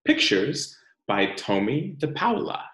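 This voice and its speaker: Expressive, slow-paced man reading aloud. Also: mid-range voice. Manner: expressive, deliberate, pausing for emphasis. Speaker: teacher reading a picture book aloud to children. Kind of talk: read-aloud storytelling with guiding questions. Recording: echoey room.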